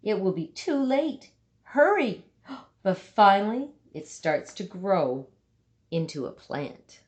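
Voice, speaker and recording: Lady reading a kids story out loud, medium pitch, really leans on the big words and pauses for effect. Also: very animated, muffled recording. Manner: measured, dramatic emphasis and pauses for young listeners. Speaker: storybook reader. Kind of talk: expressive children's picture book read-aloud.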